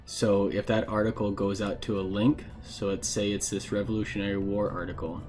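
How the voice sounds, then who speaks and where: Man, lower pitch, flat intonation, informal explanatory tutorial, plain delivery. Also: a little fast, soft background music. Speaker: instructor giving a software tutorial. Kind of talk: casual screen-share tutorial narration.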